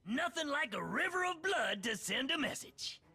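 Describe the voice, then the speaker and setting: A man playing a crazed, cranky little redneck creature from a video game, with a raspy voice and a rural Southern American accent, belligerent and folksy. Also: very high voice, big pitch swings, normal speed, exaggerated voice. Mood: manic menace. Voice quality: raspy, gravelly shouting. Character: crazed cranky small yordle redneck, paranoid and bloodthirsty.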